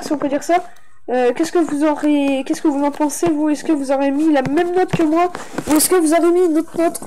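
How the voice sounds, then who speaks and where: A woman's voice: high-pitched, background noise. A young woman with a stuffy head cold, rambling through a casual showcase of a game map for her channel, with many fillers and restarts. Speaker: gaming YouTuber.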